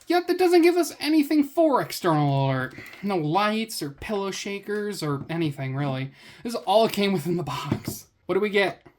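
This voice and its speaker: A woman's voice: mid-range voice, very animated, moderate pace, darkish tone. A woman reviewing a gadget in a casual unboxing commentary, with a sarcastic, wry way of talking.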